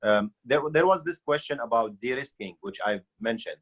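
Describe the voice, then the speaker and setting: Male panelist, Syrian Arabic accent, medium pitch, earnest advocate with halting openings. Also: expressive. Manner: hesitant starts, earnest advocacy. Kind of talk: unscripted panel remarks.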